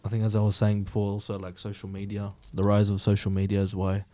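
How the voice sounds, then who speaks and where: A man on a podcast panel making a quick, offhand point. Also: on the low side, monotone, mellow, no echo. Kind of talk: casual panel discussion.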